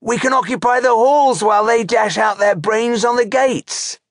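A man voicing an insolent orc soldier in a fantasy audiobook, loud and challenging as a troublemaker who defies his chief. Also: normal speed, no echo, studio quality. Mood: defiant. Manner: high-pitched, loud, challenging.

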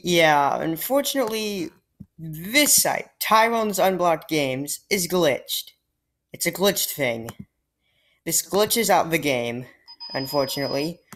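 A woman commentating on a game she is playing, in a casual, exasperated and sarcastic way, often sighing. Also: lowish voice. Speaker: let's play gamer. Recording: faint game music behind.

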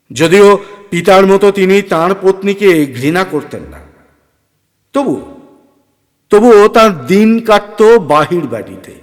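A man narrating a horror story with theatrical, deliberate phrasing and dramatic pauses. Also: high-pitched. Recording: long hall reverb, music underneath.

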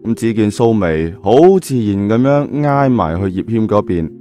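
A mellow, fairly low male voice narrates a story with a Cantonese accent. He is colloquial and dramatic, dropping chatty asides, with soft music underneath. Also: expressive, normal speed.

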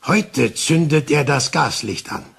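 An actor playing an older male detective in a German crime radio play, calm, insistent and explaining things deliberately with dramatic pauses. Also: fairly high pitch, gravelly, slightly rough, full character voice.

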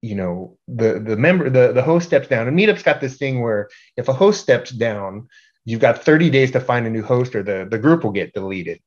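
A middle-aged man giving an informal slide presentation as a group organizer, halting and repeating words in a self-deprecating way. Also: mid-range voice, animated. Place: indoor room at home, on a video call. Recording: dull, lo-fi video call audio.

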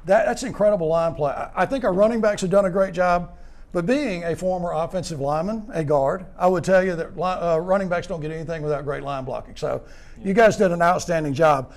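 An older man interviewing high school football players in a clubhouse after a game, upbeat and full of praise. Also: brisk. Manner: upbeat, praising, rambling questions. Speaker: postgame interviewer. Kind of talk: postgame sports interview.

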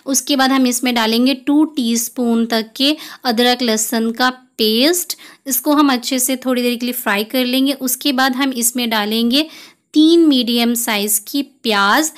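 A woman's voice: a little high, fairly bright tone. A woman gives a step-by-step recipe voice-over, explaining each step plainly as a home cook.

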